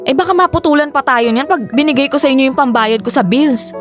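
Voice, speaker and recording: Woman as high-voiced, exasperated Filipino daughter. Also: fast talker, soft background music, close to an everyday voice. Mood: worried, protesting. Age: young adult. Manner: respectful, exasperated, pleading. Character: weary, dutiful breadwinner daughter pleading with her mother. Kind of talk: Filipino radio drama acting.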